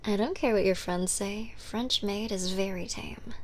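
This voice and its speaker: A woman playing a seductive, blood-craving modern vampire in an intimate, wry ASMR roleplay, speaking in breathy whispers. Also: mid-range voice, measured pace, slight background noise, close to an everyday voice. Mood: wry exasperation.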